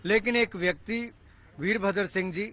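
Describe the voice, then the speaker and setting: A man's voice: sits high, very fast, sharp tone. A man making a combative, accusing political statement to the press as a party spokesman.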